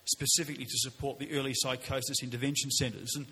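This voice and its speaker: Bright-voiced Australian man in an echoey hall. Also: mid-range voice, little pitch movement, vocal fry, slightly rough. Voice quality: loud voice. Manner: halting, stammering repeats of words. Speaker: politician on a panel. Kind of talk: answering a question at a public forum. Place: large indoor hall, public forum. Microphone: shared event microphone. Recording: echoey hall with background noise.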